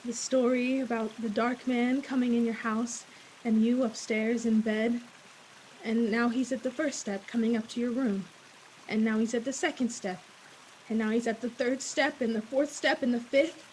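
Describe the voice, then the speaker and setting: A high-voiced young woman played by an actress in an old-time radio play, nervous and alone in the dark. She talks to herself with theatrical unease, over a faint hiss. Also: fairly even intonation, normal speed, natural voice. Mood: nervous self-soothing. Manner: anxious self-talk, theatrical. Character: frightened young woman walking home alone at night. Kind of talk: 1940s radio play acting. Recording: hissy, noisy recording.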